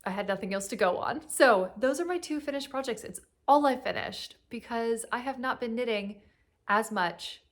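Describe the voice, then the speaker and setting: A woman's voice: on the high side, lively intonation, normal speed. A young woman with a cold and a sniffly voice, rambling in a casual chat as host of a knitting podcast, with plenty of fillers. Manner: rambling, chatty, with frequent fillers and laughs.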